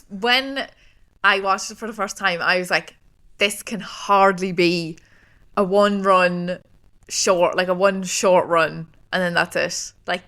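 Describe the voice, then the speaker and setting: Bright-toned Irish woman chatting. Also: medium pitch. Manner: chatty, quick to agree, many fillers. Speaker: podcast co-host. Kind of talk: casual chat.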